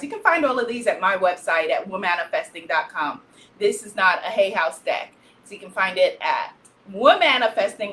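A high, bright-voiced woman from Guyana hosts an online festival over a livestream. She is loud and energetic, chanting and cheering her viewers on. She takes her words unhurriedly. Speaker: host of an online spiritual festival. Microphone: phone or webcam on a livestream.

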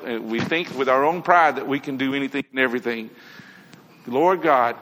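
Male preacher, earnest and conversational, high bright voice, sermon in an echoing church hall. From Tennessee, USA. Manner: earnest, conversational, addresses congregation directly. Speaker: preacher giving a morning lesson. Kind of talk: sermon with prayerful asides.

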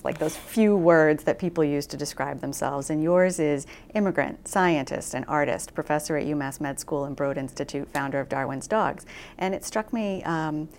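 Low, gravelly woman interviewer. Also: a little mellow, slight background noise. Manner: attentive, frequent "mm-hmm" backchannels. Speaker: interviewer, host.

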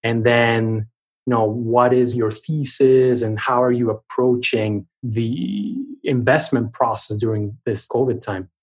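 A man with a medium-pitched voice acting as interviewer. He introduces guests and lays out his questions step by step in conversational speech. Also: steady tone, slow, poor audio. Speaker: interviewer, host.

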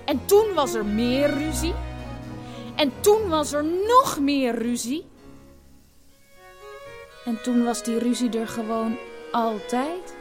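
A young eldest daughter narrating a family radio play. The voice is high and expressive, with slow, childlike phrasing. Music runs clearly behind it. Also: female voice. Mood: matter-of-fact, sad. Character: young eldest daughter recounting her troubled family's story.

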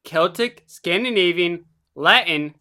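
A young woman co-hosting a casual chat, animated and talkative, often laughing. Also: fairly low pitch, slow. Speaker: podcast co-host.

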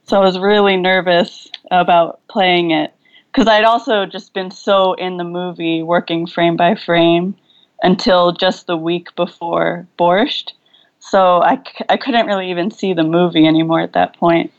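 A woman's voice: lowish voice, steady tone, a little slow, dull audio. A young woman filmmaker, speaking thoughtfully over a video call and searching for her words with fillers and restarts. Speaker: filmmaker guest. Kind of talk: relaxed video-call interview.

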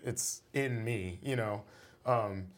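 Man, low voice, musician guest, casual interview talk, relaxed, lots of fillers. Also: slow. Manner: relaxed, rambling with many fillers.

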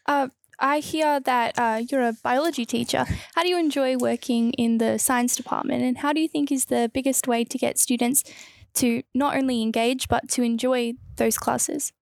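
She is a woman interviewer. Her voice sits a little high and has a clear creaky fry to it. She asks prepared questions, recorded dry with little room sound. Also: fairly even intonation, moderate pace, no echo.

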